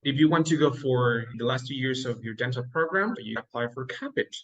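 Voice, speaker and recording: A man's voice: mid-range voice, lively intonation, brisk, a bit bright, lo-fi sound. A young man from Mexico, Spanish his first language, explaining his path step by step in a casual interview. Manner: earnest, explains step by step, occasional laughs. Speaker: interview guest, dentist. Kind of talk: casual interview, giving advice.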